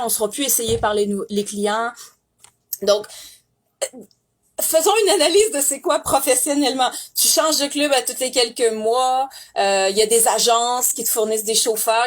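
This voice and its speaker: Young Québécoise woman, pitched a bit high, emphatic and indignant testimony, restarts often. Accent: Québécois French. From Canada. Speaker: former sex industry worker giving testimony. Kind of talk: impassioned testimony.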